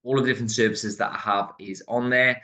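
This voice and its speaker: A man's voice: mid-range voice, fairly even intonation, quick pace, bright, forceful tone, no echo. Blunt Geordie man in his thirties. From Newcastle upon Tyne, England. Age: 30s. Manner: blunt, streetwise, laughs mid-sentence. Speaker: podcast host. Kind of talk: candid personal storytelling.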